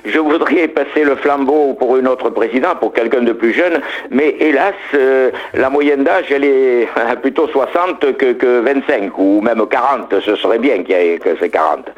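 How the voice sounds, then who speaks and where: An older man in his seventies answers a radio interviewer at an easy pace, his voice settling low at the end of each phrase. The broadcast sound is a little muffled and lacks sparkle. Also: normal speed, hardly any pauses. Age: 70s. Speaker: association president, interview guest.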